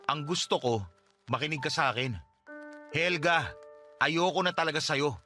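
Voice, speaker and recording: A man's voice: a little high, normal speed, bright, forceful tone, faint music behind. A man with a Filipino accent playing a fed-up husband in his thirties in a radio drama, terse and dismissive. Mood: firm, fed up.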